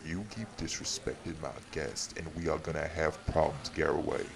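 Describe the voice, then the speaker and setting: A man playing a weary, courtly vampire host, gravelly and firm, in an audio drama. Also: a bass voice, flat delivery, normal speed, background noise. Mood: stern warning. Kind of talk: audio roleplay drama acting.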